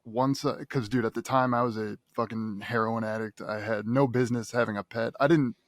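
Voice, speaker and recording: A man's voice: medium pitch, steady tone, a little fast, no echo. Laid-back male podcast host, dry close sound. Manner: rambling, swears casually, laughs often. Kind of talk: casual chat.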